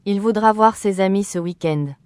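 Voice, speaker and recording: A voice reading short phrases slowly and evenly for learners, carefully enunciated and repeated. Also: medium pitch, clean tone, dry recording. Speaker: French phrase reader.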